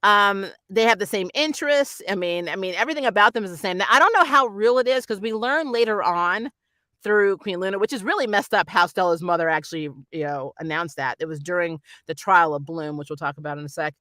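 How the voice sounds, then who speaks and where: A host talks through a casual review in a bright, crisp-edged voice at a middling pitch. The delivery is chatty and opinionated, with amused asides. The sound is dry, with very little room around it. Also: fairly quick, no room sound. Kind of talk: casual spoiler review commentary.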